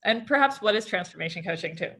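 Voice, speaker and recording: A woman's voice: mid-range voice, lively intonation, quick pace, pushed and bright, breathy edge. A woman hosting a casual interview over a video call, enthusiastic and laughing often. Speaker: astrologer and host.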